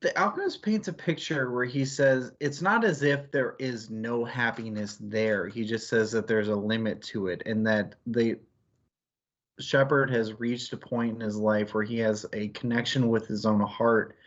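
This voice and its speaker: A man's voice: mid-range voice, steady tone, measured pace. Guy chatting about books, kinda rambly and analytical, slightly muffled lo-fi sound. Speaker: book club stream host. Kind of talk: casual book discussion.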